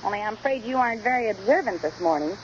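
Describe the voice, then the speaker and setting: Actress as young housewife, lively swooping delivery, fond scolding, 1940s radio comedy, noisy old recording. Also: female voice, mid-range voice, very fast, lo-fi sound, lightly acted. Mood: miffed. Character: bright young housewife and mother, fondly scolding. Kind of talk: 1940s radio sitcom acting.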